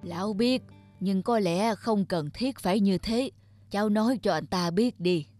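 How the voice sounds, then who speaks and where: A man voicing a kindly, wise old man in a cartoon, giving gentle and unhurried advice. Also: sits high, faint music behind. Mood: gentle, advising. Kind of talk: cartoon character acting.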